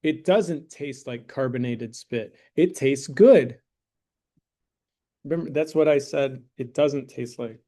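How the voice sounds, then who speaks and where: A man's voice: mid-range voice, slow, dark tone. Male math teacher in a classroom, conversational and jokey, keeps asking "right?". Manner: joking, conversational, checks in with "right?" and "okay?". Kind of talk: classroom lesson with a mock sponsor plug.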